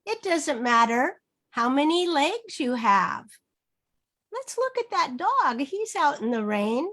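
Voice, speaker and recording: A woman teaching through an interactive children's read-aloud, modeling phrases slowly and asking prompting questions. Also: sits high, sing-song, fairly bright tone. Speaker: reading teacher. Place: online video session.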